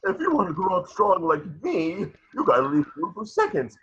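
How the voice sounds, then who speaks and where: A man playing a doddering, bombastic old superhero in a cartoon table reading, with a grandiose, befuddled delivery and a husky voice. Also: high-pitched, wildly swooping pitch, normal speed, a bit bright, dull audio, exaggerated voice.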